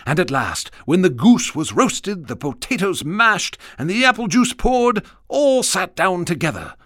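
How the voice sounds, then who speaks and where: A man's voice: high voice, sing-song, a little slow, a bit bright, dry recording, studio quality. A man narrating a holiday story in a radio drama with a storyteller cadence.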